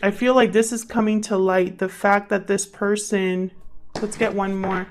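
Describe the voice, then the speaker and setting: A woman hosts an improvised tarot reading with music playing behind her. Her voice sits at a middling pitch for a woman. She talks conversationally, thinking aloud as she addresses the cards and her viewers. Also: flat delivery, moderate pace. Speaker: tarot reader, channel host. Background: background music.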